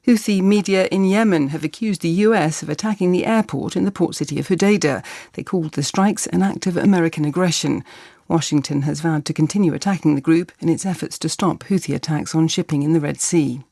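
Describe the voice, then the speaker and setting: Creaky-voiced British woman newsreader. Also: low-pitched, fairly quick, dark tone, no room sound, clean studio sound. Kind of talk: formal scripted news bulletin. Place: dry broadcast radio studio.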